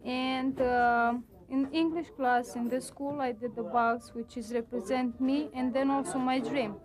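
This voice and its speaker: A nineteen-year-old Romanian-born student speaks in a high voice, hunting for words and filling pauses with fillers. She explains her class project in simple, halting sentences. A crowded school room chatters behind her. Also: fairly even intonation, a crowd in the background, low-quality recording. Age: teens.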